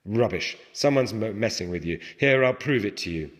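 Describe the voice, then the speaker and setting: A man's voice: on the low side, lively intonation, normal speed, lightly acted. A man reading aloud the part of a brash, mocking friend, insistent and falsely courteous, in a novel, in a reverberant space. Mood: dismissive. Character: brash, mocking male friend, theatrically suave. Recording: reverberant hall with long echo.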